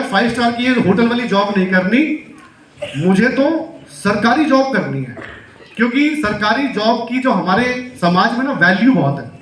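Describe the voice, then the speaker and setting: A man's voice: high-pitched, a bit bright, far from the mic. A man from Delhi giving a motivational seminar talk, asking the audience questions as he goes. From Delhi, India. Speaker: seminar speaker. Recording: echoey hall, mic heard from afar.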